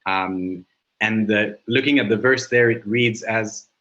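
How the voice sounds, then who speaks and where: A man's voice: on the low side, measured pace, a bit bright, highs cut off. A man whose first language is Arabic, giving a webinar presentation over a video call and explaining with frequent hesitations and fillers.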